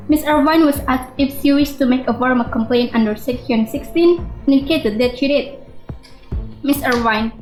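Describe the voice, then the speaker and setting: A woman's voice: sits high, lightly acted. A woman playing a formal, methodical director questioning witnesses in a radio drama. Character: businesslike female director questioning at a hearing. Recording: echoey room with music.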